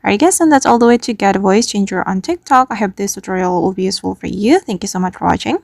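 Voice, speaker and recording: Gravelly woman explaining app steps. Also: mid-range voice, fast talker, darkish tone, no echo, clean studio sound. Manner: step-by-step, plain instructional. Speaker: tutorial host. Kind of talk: screen-recorded app tutorial.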